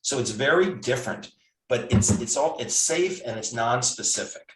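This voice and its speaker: A man's voice: medium pitch, lively intonation, moderate pace. A man in his sixties, a physician guest, explaining things step by step in a casual, conversational way with frequent restarts. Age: 60s. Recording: roomy and distant from mic.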